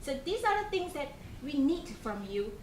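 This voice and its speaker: A fairly high-voiced woman with a Malaysian accent talks to a group of students in a conversational way, putting questions to them and often restarting her sentences. The room is echoey, with a faint hum of noise behind her. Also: sing-song, moderate pace, a little mellow. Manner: conversational, asks the audience questions, frequent restarts and fillers. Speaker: programme coordinator giving a talk to students. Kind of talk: informal presentation to a student group.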